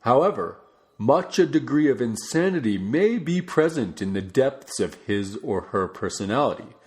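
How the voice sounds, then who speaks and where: A man reading a non-fiction book aloud as a narrator, with measured, deliberate phrasing. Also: mid-range voice, sing-song, a little mellow. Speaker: audiobook reader.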